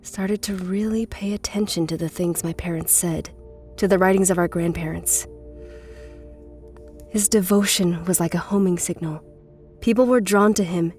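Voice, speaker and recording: Woman acting a low-voiced, guarded, intense cult runaway. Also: a little mellow, close to an everyday voice. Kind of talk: scripted horror audio drama acting. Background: music.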